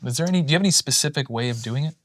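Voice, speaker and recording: A man in relaxed podcast conversation, spinning anecdotes with plenty of false starts. His voice sits in an ordinary middle range. The sound is close and dry. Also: mid-range voice, rapid-fire, no echo. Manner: rambling anecdotes with many restarts. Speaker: podcast co-host. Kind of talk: casual chat, storytelling.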